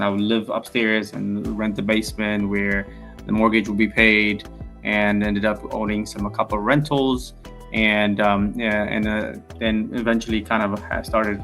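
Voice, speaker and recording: Low-voiced man rambling with fillers. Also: fairly bright tone. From Ethiopia. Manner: rambling, many fillers and restarts. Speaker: podcast guest, real estate founder. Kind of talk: casual interview answers.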